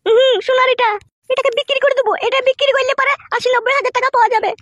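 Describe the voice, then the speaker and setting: A cartoon village wife with an extremely high, shrill voice. She talks very fast, nagging and insisting with a sharp, foul-mouthed tongue. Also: fairly even intonation, no echo, studio quality. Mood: pleading, insistent. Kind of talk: comic cartoon dialogue.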